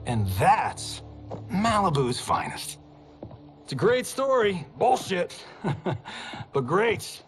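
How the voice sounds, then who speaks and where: A man's voice: high voice, drawn-out words, long pauses, heavily acted. An actor playing a brash, wisecracking male movie star in a video game cutscene. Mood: cocky. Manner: cocky, wisecracking, animated. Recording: game cutscene with music behind.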